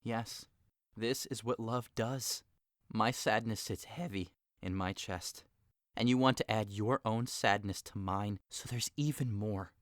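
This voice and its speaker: A man's voice: fairly low pitch, measured pace, no echo, lightly acted. A brooding, lovesick young man, played by an actor in an audio drama. He sighs and lingers over his sorrow, then rises into passionate outbursts. The recording is dry and close. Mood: melancholy. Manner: sighing, wistful, swings into passionate outbursts.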